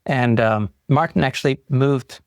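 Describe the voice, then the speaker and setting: A man's voice: medium pitch, a little slow, no echo, studio quality. A man from Europe, a guest on a podcast, telling his career story fluently and with enthusiasm. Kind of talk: conversational interview answers.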